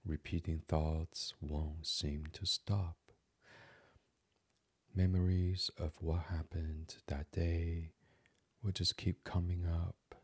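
A man hosting a guided sleep meditation in a soothing, hushed ASMR delivery that slips into a near-whisper. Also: deep voice, little pitch movement, slow, husky, no echo. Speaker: meditation podcast host.

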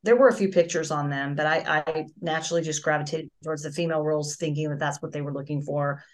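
A woman's voice: sits low, fairly even intonation, quick pace. A middle-aged woman, a voice actress and singer, chatting as a guest in a casual interview over a video call, telling stories with many restarts.

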